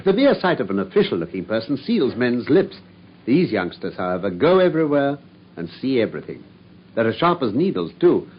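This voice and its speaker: An actor playing a brilliant, self-assured Victorian detective with an English accent and a wry, commanding manner in a radio drama. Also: male voice, medium pitch, very animated, fairly quick, breathy edge. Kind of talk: British radio drama acting. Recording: muffled radio recording with faint noise.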